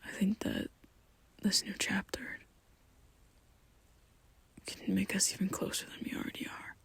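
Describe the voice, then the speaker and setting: A woman's voice: medium pitch, completely flat delivery, creaky, husky, heavily acted. A woman whispering as a shy vampire boyfriend. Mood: tender. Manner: soft whispering, hesitant and halting. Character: shy young male vampire best friend, tender. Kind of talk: ASMR roleplay.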